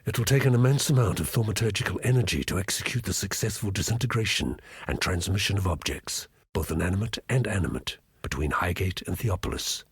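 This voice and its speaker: A man playing a cold, menacing high templar who dictates formal orders in a game, with a very raspy voice and pompous, precise delivery. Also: expressive, brisk, hardly any pauses, no room sound, studio quality, full character voice. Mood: imperious. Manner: pompous, precise, swooping emphasis.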